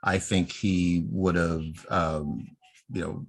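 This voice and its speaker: Podcast host: American male, low-pitched voice, informal discussion style. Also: fairly even intonation, takes it slowly, creaky.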